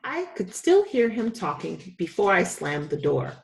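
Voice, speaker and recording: A woman's voice: fairly low pitch, very animated, unhurried, creaky, low-quality recording. A woman teacher reading a children's story aloud with expression.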